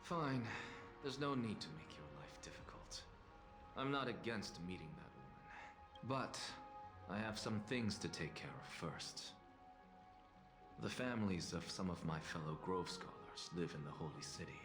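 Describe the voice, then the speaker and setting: Game casting: sardonic, defiant professor, animated intonation, dry formal delivery, roomy echo, music underneath. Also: male voice, deep voice. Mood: composed, condescending. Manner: haughty, dry, deliberate, formal diction. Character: proud, sardonic male scholar, theatrical and defiant. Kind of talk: video game voice acting.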